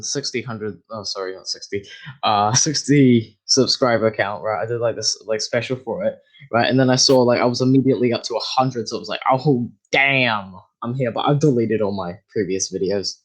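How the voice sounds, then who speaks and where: A man's voice: medium pitch, normal speed. A young man chatting casually as a podcast guest, rambling with lots of fillers and restarts. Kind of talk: casual chat.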